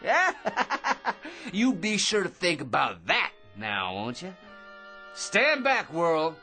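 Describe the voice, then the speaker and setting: An actor playing a pushy, grandiose crackpot who rambles, stammers and repeats himself in a radio drama. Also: male voice, sits high, wildly swooping pitch, slow pace, sharp tone, faint music behind, exaggerated voice. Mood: gloating. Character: chattering crackpot man, grandiose, pushy and self-satisfied.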